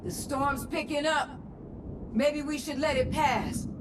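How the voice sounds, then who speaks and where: A man playing an anxious, earnest rebel crew member in a video game cutscene, expressive and unguarded. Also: very high voice, slow pace, noisy background. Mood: worried.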